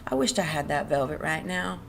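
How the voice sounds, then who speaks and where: A woman voicing a big, slow, childlike farmhand man in an audiobook, simple and earnest, with a fearful, pleading rustic drawl. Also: low-pitched, lively intonation, creaky. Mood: happy, eager. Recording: noticeable background noise.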